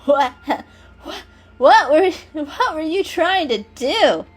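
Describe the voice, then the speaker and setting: A woman's voice: sits high, expressive, slow pace, voice pushed up. A woman voicing a playful, confident young male cat with a casual, teasing manner in a children's story. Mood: amused, puzzled. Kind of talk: dramatic children's story reading.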